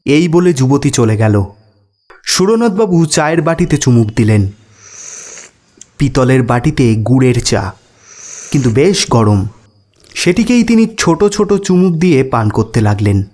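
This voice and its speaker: A man's voice: mid-range voice, sing-song, unhurried, long pauses, darkish tone. A man narrating a scripted ghost story as a storyteller.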